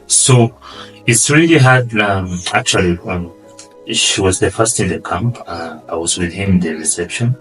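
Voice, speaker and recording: A man with a Ugandan accent, answering interview questions earnestly and often trailing off quietly. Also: low-pitched, expressive, moderate pace, distant mic, faint music behind, poor audio. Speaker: guest, asylum seeker.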